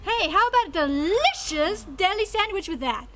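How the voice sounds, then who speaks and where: A woman's voice: extremely high pitch, sing-song, normal speed, a bit bright, exaggerated voice. A woman voicing a cheery announcer in a live radio advertisement skit.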